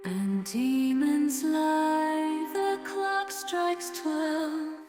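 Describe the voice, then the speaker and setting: A woman's voice: high-pitched, extremely slow. A woman singing an eerie theme song. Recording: loud music over voice.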